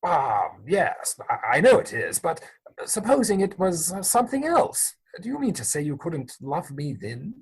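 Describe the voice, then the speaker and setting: Flustered, husky, lovestruck gentleman suitor played by a man. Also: on the high side, sing-song, normal speed, full character voice, poor audio. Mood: flustered, hesitant. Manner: hesitant, stammering, flustered. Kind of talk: theatrical dramatic reading of period comedy.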